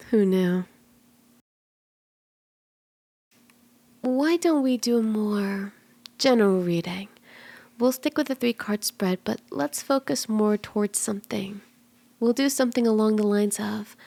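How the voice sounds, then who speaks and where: A woman voicing a flirty, soothing kitsune fortune teller in an ASMR roleplay, speaking gently and coaxingly, at times in breathy whispers. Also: medium pitch, close to an everyday voice. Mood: playful, warm. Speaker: tarot reader.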